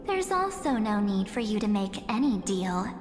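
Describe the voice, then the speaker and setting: An actress playing a mysterious, composed young woman in a video game, speaking in a measured, softly teasing, theatrical way. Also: mid-range voice, sing-song, close to an everyday voice. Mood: cool, knowing. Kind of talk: scripted video game dialogue. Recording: reverberant game audio with music.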